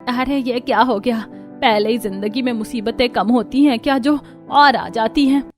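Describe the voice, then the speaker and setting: A woman's voice: fairly high pitch, moderate pace, soft background music, pitched above the performer's own voice, close to an everyday voice. A woman voicing a caring young wife who sells home food in a cartoon story. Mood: dismayed.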